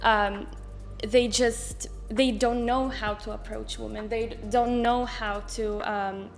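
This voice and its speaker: A woman's voice: a little high, steady tone, slow pace, vocal fry, faint music behind. A woman in her twenties from Israel, speaking English as an as an interview guest on a handheld mic, hesitant, with many fillers and restarts. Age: 20s. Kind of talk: casual interview.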